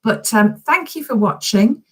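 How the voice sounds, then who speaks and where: A woman's voice: fairly high pitch, lively intonation, a little slow, breathy edge. A woman reading a children's story expressively, speaking directly and encouragingly to young listeners.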